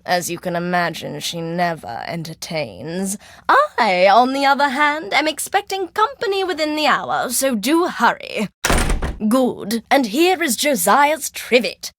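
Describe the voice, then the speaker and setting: An actress playing a snobbish, imperious society hostess who orders people around. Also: female voice, fairly high pitch, very animated, unhurried, fairly bright tone. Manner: imperious, condescending.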